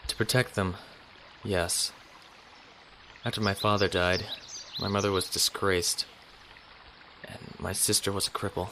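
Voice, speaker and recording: Low-voiced, troubled young naval officer played by a man. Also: little pitch movement, measured pace, long pauses, background noise. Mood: conflicted, brooding. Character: conflicted, honorable young male imperial naval officer. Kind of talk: sci-fi audio drama acting.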